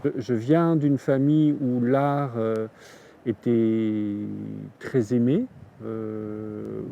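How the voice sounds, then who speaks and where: A man's voice: lowish voice, creaky, warm tone, recorded from a distance, a little hiss or hum. A middle-aged man from southern Germany, a curator giving reflective interview answers, often hesitating and restarting. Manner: hesitant, many fillers and restarts. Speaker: artist and biennial curator.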